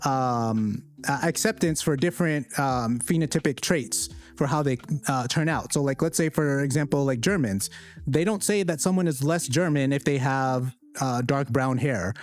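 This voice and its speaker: A man's voice: mid-range voice, darkish tone. A man hosting a casual live discussion, explaining his view with many fillers and hesitations. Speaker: host.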